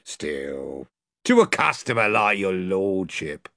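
A man's voice: sits low, very animated, slow pace, fairly bright tone, no room sound, studio quality, voice pushed down, exaggerated voice. Man doing a fawning pirate slaver. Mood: wheedling. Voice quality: oily, wheedling, common speech. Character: wheedling, fawning male pirate slave dealer.

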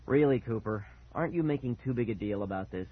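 A man's voice: on the low side, lively intonation, quick pace, breathy edge. Man playing a stern, weary dad, tinny audio. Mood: weary, doubting. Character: stern, weary, skeptical father. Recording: narrow, tinny phone-like audio.

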